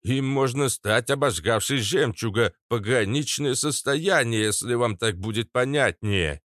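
Мужчина-чтец озвучивает старого лекаря, ворчливого всезнайку со скрипучим голосом, который всё растолковывает. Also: male voice, medium pitch, measured pace, fairly bright tone, dry recording, studio quality, pitched above the performer's own voice, lightly acted. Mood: explaining. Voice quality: creaky, scratchy. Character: old male healer, grumbling know-it-all explainer.

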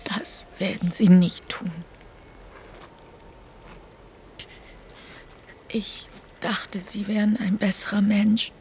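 An actress playing a frightened but calculating farmer's wife in a radio play, murmuring tensely and urgently in a hushed, breathy voice. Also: female voice, medium pitch, little pitch movement, measured pace, slight background noise, natural voice. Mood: frightened pleading.

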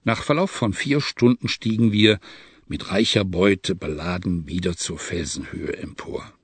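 Male first-person narrator, lowish voice, grave and measured, close and dry. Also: breathy edge, no room sound. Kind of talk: first-person adventure narration, dramatized reading.

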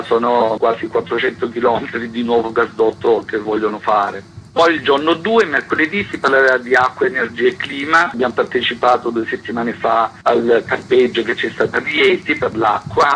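A man's voice: medium pitch, sharp tone, slight background noise, rough recording. A man speaking informally as an activist guest in a radio interview. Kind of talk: informal spoken interview explanation.

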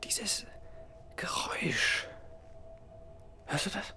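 A man's voice: drawn-out words, pushed and bright, in a whisper, soft background music, full character voice. An actor playing a stressed, agitated young father in a naturalistic radio drama, talking bluntly. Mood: hushed, obsessive. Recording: clear background noise.

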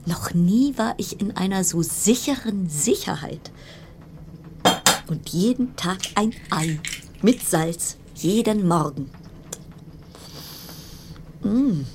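An actress playing a brittle, self-reassuring woman isolated in a ship's cabin, musing haltingly and repetitively in in a radio play, at times dropping into whispers. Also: medium pitch, animated, darkish tone, a little hiss or hum. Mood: brittle reassurance. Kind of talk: German radio play monologue.

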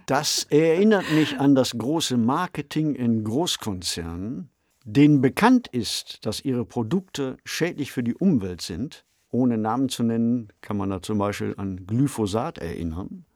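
A man's voice: mid-range voice, animated, measured pace, a little mellow, no room sound, studio quality. A man co-hosting a discussion podcast. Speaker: podcast co-host.

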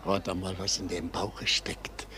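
Betrunkener alter schlesischer Weber, sehr gedehnt. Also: male voice, sits low, flat delivery, takes it slowly, sharp tone, breathy edge, heavily acted. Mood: tipsy, boastful. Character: drunken, boisterous old poor weaver, boastful and sentimental. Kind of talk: German radio play acting.

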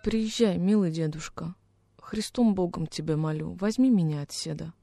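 A plaintive, homesick little orphan boy from the village, acted by a woman in a radio play, with naive rustic speech. The tone is soft and mellow, with some room around it and faint music behind. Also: on the low side, moderate pace, no echo, studio quality, natural voice. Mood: miserable pleading. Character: pleading, homesick young peasant orphan boy. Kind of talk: dramatic radio reading of a classic story.

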